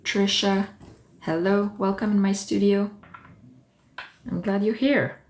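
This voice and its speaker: Slow-talking French-native woman streaming from her studio. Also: mid-range voice, fairly even intonation, darkish tone, slight background noise. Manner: unhurried, greets viewers by name, thinks aloud with fillers. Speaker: artist hosting a livestream. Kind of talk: casual livestream chat while working. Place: home art studio.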